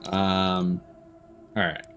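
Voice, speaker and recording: A man's voice: sits low, completely flat delivery, drawn-out words, fairly bright tone, a little hiss or hum. A man chatting casually as a game streamer, candid and sweary, thinking aloud as he goes. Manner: sweary, candid, self-deprecating. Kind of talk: casual live-stream chat and gameplay commentary.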